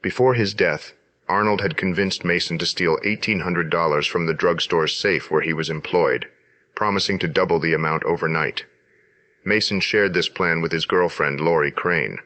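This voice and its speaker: A man reading a scripted story summary, his very deep voice edged with a bright, forceful tone. The recording is close and dry, and the delivery is plain and even. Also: moderate pace, dry recording. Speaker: narrator of episode summary. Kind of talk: scripted narration.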